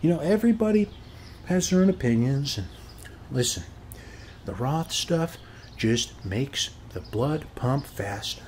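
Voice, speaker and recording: A man's voice: medium pitch, expressive, a little slow, darkish tone, slight background noise. An American man performing an ASMR roleplay as a chatty, boastful suburban neighbor, rambling in a folksy way and often checking in with the listener.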